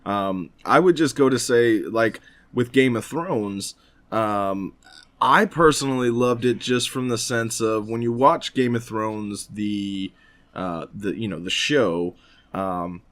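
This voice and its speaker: A man's voice: on the low side, slow, a bit bright. A man chatting casually as a podcast co-host, often hedging and circling back as he talks. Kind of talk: casual chat about games.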